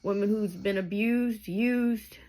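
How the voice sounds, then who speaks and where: A woman's voice: medium pitch, takes it slowly, warm tone. A woman of thirty telling her life story to her viewers, candid and emotional, rambling with asides as she goes. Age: 30s. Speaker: vlogger. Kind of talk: personal testimony to camera.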